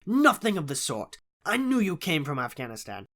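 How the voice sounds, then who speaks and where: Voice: mid-range voice, sing-song, normal speed, a bit bright. A narrator playing a conceited, analytical male detective in an audiobook, lecturing with self-assured disdain. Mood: confident, explaining. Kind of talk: audiobook character voice in classic detective story.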